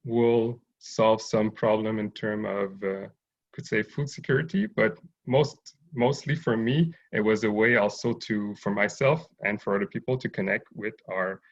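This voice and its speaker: Gravelly low-voiced man, lo-fi call audio. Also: fairly even intonation, unhurried. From Haiti, raised in Montreal. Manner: thoughtful, hesitant with many fillers. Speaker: guest, urban farm owner. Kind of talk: interview over video call.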